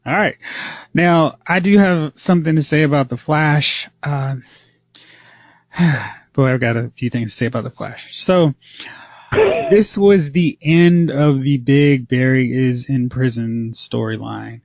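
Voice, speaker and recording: A man's voice: mid-range voice, measured pace, no room sound. Male podcast co-host, chatty and opinionated, dry close mic, dull lo-fi sound. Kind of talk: casual TV review chat. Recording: slightly dull lo-fi audio.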